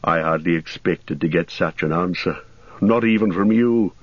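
A man voicing a gentle, earnest young hobbit. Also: sits low, moderate pace, no echo, lo-fi sound. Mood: thoughtful.

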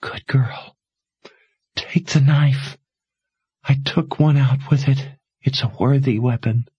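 A man voicing a gentle dying mother who urges her daughter in soft, breathy whispers. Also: medium pitch, steady tone, slow, no echo, muffled recording, pitched above the performer's own voice. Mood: tender.

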